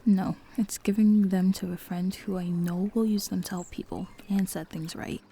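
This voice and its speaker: A woman's voice: on the low side, fairly even intonation, normal speed, warm tone, a little hiss or hum, lightly acted. A woman playing a principled, determined hero and loyal ally in an audio roleplay, speaking earnestly and resolutely. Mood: exasperated.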